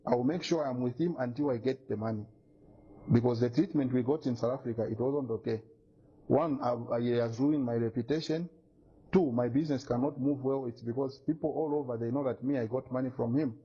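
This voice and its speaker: Indignant man, husky and dark. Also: mid-range voice, brisk. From Zambia. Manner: indignant, insistent, repeats points. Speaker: businessman making a complaint. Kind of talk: emphatic accusatory statement to media. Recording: dull lo-fi recording.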